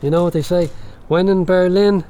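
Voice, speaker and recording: Actor as jokey higher-voiced Irishman. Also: steady tone, moderate pace, dark tone, natural voice. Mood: playful. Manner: jokey, casual. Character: jokey, chatty Irish husband type, out of depth abroad. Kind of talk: naturalistic radio drama acting. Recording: street ambience with faint vehicle noise. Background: faint street noise.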